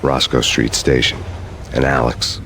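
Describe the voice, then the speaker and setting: Very deep, brooding hard-boiled cop. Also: male voice, measured pace, very noisy. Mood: grim, brooding. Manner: deadpan, terse. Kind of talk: noir scripted narration. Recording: loud music behind the voice.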